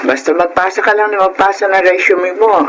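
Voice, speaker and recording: An actress playing a supportive middle-aged mother in a radio drama, encouraging and expressive, with a Filipino accent. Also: medium pitch, fairly quick, a little hiss or hum, close to an everyday voice, rough recording. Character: supportive, animated mother urging her daughter on.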